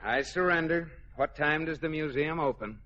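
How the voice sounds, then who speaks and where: An actor playing an exasperated but affectionate husband in a mid-century radio comedy, incredulous and animated. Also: male voice, a little high, moderate pace, natural voice. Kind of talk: 1940s-50s radio comedy acting. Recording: very noisy, muffled old-time radio recording.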